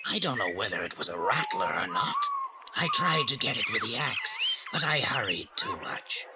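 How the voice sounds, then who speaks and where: An actor playing a menacing yet courteous scarred older-style stranger with a strange, whispery voice in an audio drama. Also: male voice, mid-range voice, huge pitch swings, moderate pace, very noisy. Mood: explanatory, courteous.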